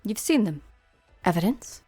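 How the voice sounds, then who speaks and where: A woman's voice: mid-range voice, very animated, measured pace, warm tone, breathy edge, no room sound, faint music behind. A woman playing a stern, terse, resolute figure in an amateur game fan dub.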